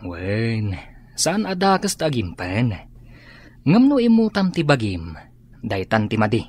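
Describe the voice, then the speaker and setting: A man's voice: medium pitch, huge pitch swings, a little slow, darkish tone, husky, heavily acted. A man playing a weary, reproving husband in a radio drama, with an Ilocano accent.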